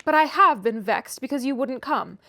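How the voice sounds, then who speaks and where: A woman's voice: sits high, lively intonation, moderate pace, sharp tone, dry recording, exaggerated voice. Woman putting on a whiny sickly boy. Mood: pleading. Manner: petulant, whimpering. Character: sickly peevish teenage boy, spiteful and whining.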